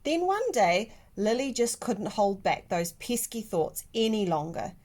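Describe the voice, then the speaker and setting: A woman reading a children's picture book aloud like a teacher, with deliberate, expressive emphasis. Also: mid-range voice. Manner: animated, deliberate, with dramatic emphasis.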